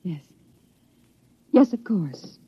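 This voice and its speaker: An actress playing a tender, conflicted woman of a cold future society in a vintage radio drama, earnest and halting. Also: on the low side, very animated, a little hiss or hum, heavily acted. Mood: resigned. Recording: dull, dry vintage radio recording.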